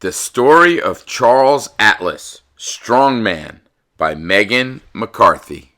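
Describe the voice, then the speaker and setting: Man, storybook reader, unhurried pace, lowish pitch, deliberate phrasing. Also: animated, sharp tone. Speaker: school teacher reading aloud to children. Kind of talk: measured storybook read-aloud.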